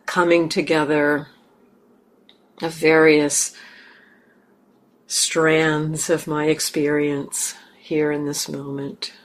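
A woman meditation teacher leading a guided meditation gently and haltingly, in short phrases with long pauses. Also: low-pitched. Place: meditation center hall. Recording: clear background noise, room ambience.

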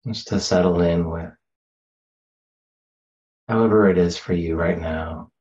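Man, low pitch, very slow pace, gentle meditation teacher, long pauses, slightly muffled sound. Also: fairly even intonation. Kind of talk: guided meditation. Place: meditation center.

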